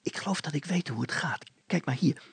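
A man voicing an eager, excitable young man in a story, urgent and insistent in the way he speaks. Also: on the high side, brisk, gravelly, breathy edge, no echo, exaggerated voice. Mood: hushed excitement.

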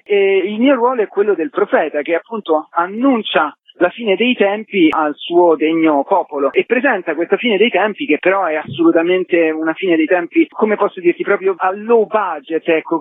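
A young man with an Italian accent speaks over a thin, phone-like line with no room sound. His voice is high for a man, and he explains his theatre work in an articulate, wry, discursive manner. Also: no room sound. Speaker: playwright and actor being interviewed. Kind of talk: radio interview.

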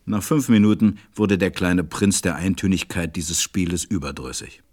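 A man narrating a scripted children's story in a German radio play, with measured storytelling. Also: low-pitched, darkish tone, no room sound, studio quality.

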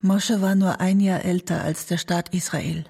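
An elderly, tender mother in a radio play, recalling her son with quiet worry. Her voice is gravelly and mellow, and her pitch stays very even. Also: measured pace, exaggerated voice. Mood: subdued, worried. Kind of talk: radio play documentary monologue.

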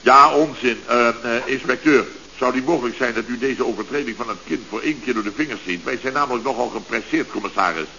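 An actor playing a bossy, easily panicked contractor boss in an exasperated, sputtering 1970s Dutch radio comedy style, with a Netherlands accent. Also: male voice, mid-range voice, fast talker, hardly any pauses, bright, forceful tone. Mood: flustered. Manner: exasperated, commanding, exclamatory, sputtering. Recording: dull, hissy old radio recording.

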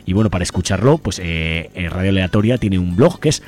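Guy from spain hosting a community radio show, lowish voice, bit of music behind. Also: animated, brisk, darkish tone. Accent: Spanish from Spain. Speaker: radio host. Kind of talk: community radio presenting, partly improvised. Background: music bed.

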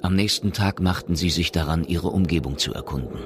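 Very deep-voiced male radio drama narrator. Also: fairly quick, noisy background.

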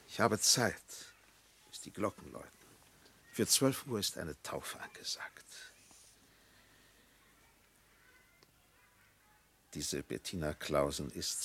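An actor playing a solemn, probing old village pastor in a radio play, with a gravelly, husky voice and a measured, formal, unctuous manner. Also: male voice, low-pitched, fairly bright tone, a little hiss or hum, heavily acted. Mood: formal, gently reproachful. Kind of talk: German radio play acting.

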